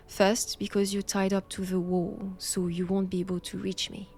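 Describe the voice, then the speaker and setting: A woman's voice: mid-range voice, darkish tone. A woman with a French accent playing a stern, righteous vampire-hunting nun in a roleplay audio drama, speaking in a formal, commanding manner. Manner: slow, deliberate, formal, commanding.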